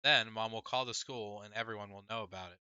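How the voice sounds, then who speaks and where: Exasperated teen big sister, read by a male narrator: emphatic, pleading, argumentative, very bright tone, close dry sound. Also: lowish voice, steady tone, fast talker, smooth, no room sound, lo-fi sound. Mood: firm, pressing. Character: exasperated, insistent teenage girl, an older sister. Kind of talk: audiobook character dialogue.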